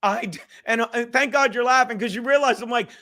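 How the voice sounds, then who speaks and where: High-pitched, rambling, foul-mouthed man. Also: brisk, bright, forceful tone. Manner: rambling, self-deprecating, swears freely. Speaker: livestream host. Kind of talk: casual profane livestream chat.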